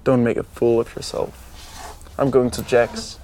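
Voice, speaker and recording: A man's voice: mid-range voice, flat delivery, measured pace, dark tone, lightly acted. An actor playing a curt, hard-bargaining gunman in dialogue from a Western film soundtrack. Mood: curt, haggling. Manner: curt, haggling. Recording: noisy film soundtrack with ambience.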